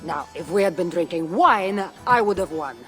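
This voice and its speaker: A woman's voice: lowish voice, expressive, moderate pace, soft background music, close to an everyday voice. An actress voicing a wry, easygoing Greek warrior woman in a video game cutscene. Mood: teasing.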